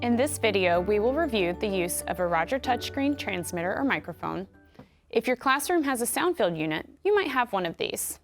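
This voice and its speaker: A woman with an American accent giving scripted instructions in a clear, measured way. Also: mid-range voice, big pitch swings, over music. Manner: clear, measured explanatory delivery. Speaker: instructional video narrator.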